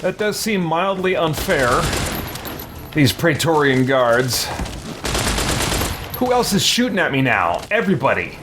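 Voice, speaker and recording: Animated man narrating his own gameplay. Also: a little high, a little slow, pauses often, heavy background noise. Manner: talks to himself, thinking aloud, mild folksy exclamations. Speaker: let's play host, gamer. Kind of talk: casual gameplay commentary.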